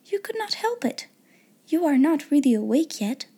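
A woman voicing a kind, dreamy little girl from a storybook, gentle, polite and well-spoken. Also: very high-pitched, animated, moderate pace, voice pushed up. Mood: soothing.

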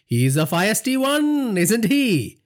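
A man doing a gloating gang boss, high and drawn-out. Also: big pitch swings, full character voice. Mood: menacing. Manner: mocking, drawn-out, sing-song. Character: gloating middle-aged male gang boss.

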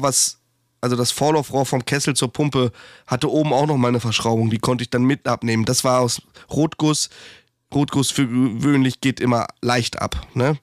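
A man's voice: medium pitch, dry recording. German guy with a cold rambling about fixing a pump, swears a lot, dry close mic. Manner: chatty, swearing, rambling with restarts. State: has a cold. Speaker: podcast co-host, heating technician. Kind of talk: casual podcast chat.

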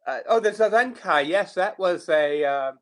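A man's voice: high-pitched, expressive, normal speed, fairly bright tone. An older man chatting casually as an interview guest, with a jokey, understated manner. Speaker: interview guest, priest and university chaplain.

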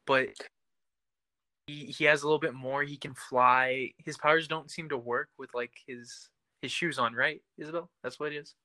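A man's voice: medium pitch, steady tone, normal speed, bright, forceful tone, dry recording. A man co-hosting a podcast, chatting casually about a TV series. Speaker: podcast co-host.

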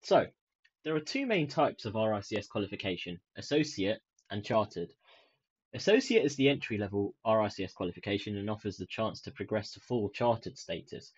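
A young man from the UK hosting his own podcast in a soft, soothing voice, rambling with many ums and restarts. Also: lowish voice, sing-song, brisk, slightly rough. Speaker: podcast host. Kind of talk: casual solo podcast chat. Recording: dull lo-fi sound.

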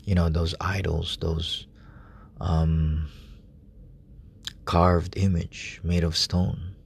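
A man preaching softly at night in a relative's room, speaking in a hushed, halting way with many fillers. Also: low voice, little pitch movement, gravelly, husky. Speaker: lay preacher. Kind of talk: informal late-night sermon.

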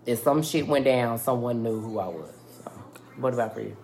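A man's voice: medium pitch, fairly quick, creaky, a little mellow, slight background noise. A young American man with a naturally feminine-sounding voice, chatting candidly and in slang as a podcast host. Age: young adult. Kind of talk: casual podcast chat. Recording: faint music behind the voice.

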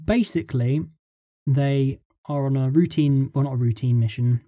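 A man being interviewed as a script writer, explaining his work casually in short, halting pieces. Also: mid-range voice, mellow, dry recording, studio quality.

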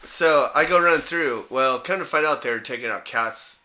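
Bright-voiced man bantering on a podcast. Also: medium pitch, normal speed. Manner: blunt, salty, joking. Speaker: podcast co-host. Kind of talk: casual comic banter and rambling anecdotes.

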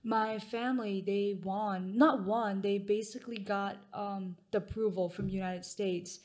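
A woman's voice: medium pitch, fairly even intonation, a little slow, a little mellow. Matter-of-fact young woman from Malaysia. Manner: plain and matter-of-fact, with hesitant fillers. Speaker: interview guest. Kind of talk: casual interview.

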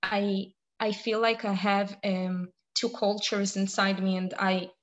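Young woman from israel, talks slow and kinda monotone, lots of ums. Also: mid-range voice, highs cut off. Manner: hesitant, many pauses and fillers. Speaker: interviewee. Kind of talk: casual interview answers.

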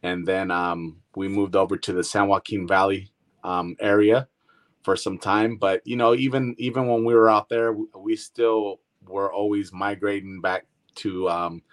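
A man from Northern California, a guest artist in a casual interview, relaxed and hesitant, with many ums. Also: low-pitched, measured pace.

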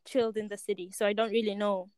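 A woman hosting a podcast in casual, excitable chat, with informal, slangy phrasing. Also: mid-range voice, fast talker, no room sound, studio quality. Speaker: podcast host.

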